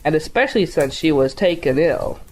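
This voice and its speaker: A man's voice: fairly high pitch, animated, brisk, darkish tone, slight background noise, close to an everyday voice, low-quality recording. A man playing a humbled, loving father with solemn, tender delivery in a period audio drama. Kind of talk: family audio drama acting.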